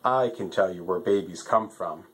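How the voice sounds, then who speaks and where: A man's voice: low voice, normal speed, close to an everyday voice. A man voicing a sensible female elephant who reasons matter-of-factly in a children's storybook. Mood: assured.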